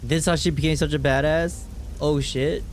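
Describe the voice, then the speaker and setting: A man's voice: on the high side, fairly even intonation, moderate pace, a little hiss or hum. A man doing casual, slangy live reaction commentary as he plays a game, swearing now and then. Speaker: gaming streamer reacting to a game. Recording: game music behind the voice. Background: game music and rain sounds.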